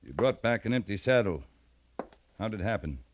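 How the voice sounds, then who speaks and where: A man's voice: lowish voice, animated, fairly quick, a little hiss or hum, close to an everyday voice. An American man acting a stern, tough cavalry captain in a 1950s radio western, speaking in a clipped, commanding military way. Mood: grim, curt. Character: stern, tough male cavalry captain, loyal to his men. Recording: 1950s radio drama recording.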